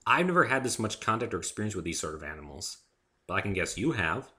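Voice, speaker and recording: A kindly, careful man in a story, acted by the male reader. He speaks with a bright edge and animated inflection, gentle, wary and quick to apologize. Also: fairly low pitch. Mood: modest. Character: wary, kindly human man among ponies. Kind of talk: dramatic reading of fan fiction.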